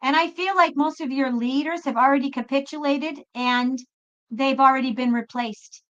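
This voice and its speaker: A woman's voice: high-pitched, normal speed, fairly bright tone. A woman hosting a live tarot reading, chatting casually with viewers in an emphatic way and often repeating her phrases. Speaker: host and tarot reader. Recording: dull lo-fi live stream audio.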